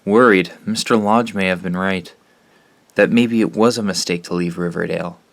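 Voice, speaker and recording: An actor playing a troubled, earnest teenage boy in an audio drama, speaking haltingly. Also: low voice, fairly even intonation, creaky, dry recording. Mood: worried.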